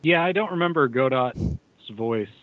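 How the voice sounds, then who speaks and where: A man's voice: medium pitch, animated, normal speed, dry recording. A man chatting casually as a panelist on a hobby podcast, through a lo-fi microphone. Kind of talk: casual chat.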